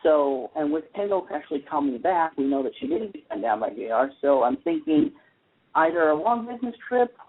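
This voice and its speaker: Guy hosting casual tv talk radio, bit high pitched, opinionated, thin phone sound. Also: sing-song, a little fast. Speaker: radio show host. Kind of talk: casual entertainment talk about TV soaps. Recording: tinny phone-like internet radio line.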